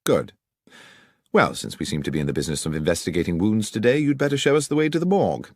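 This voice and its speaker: Commanding male Victorian detective for a storybook, acted by a male reader: low, expressive, crisply authoritative. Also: fast talker, no echo, clean studio sound. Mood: brisk, probing. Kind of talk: audiobook character reading.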